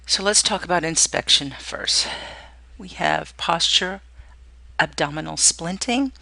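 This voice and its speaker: A woman's voice: on the low side, lively intonation, unhurried, vocal fry, sharp tone, no room sound, poor audio. A woman giving an instructional clinical lecture, explaining step by step and often checking in with "okay". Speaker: nursing or clinical skills instructor.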